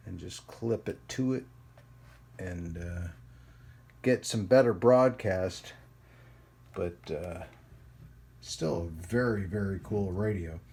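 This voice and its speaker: A man giving a casual hands-on product demonstration as a channel host, rambling and pausing often as he goes. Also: lowish voice, a little hiss or hum. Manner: halting, rambling delivery with frequent pauses. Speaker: host of a radio hobby channel. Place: indoors at a hobby workbench.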